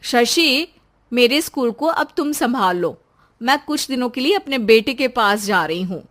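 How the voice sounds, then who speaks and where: A woman's voice: a little high, expressive, unhurried, a bit bright, voice pushed up. Woman as an older female school owner, firm, emphatic, encouraging, story mentor.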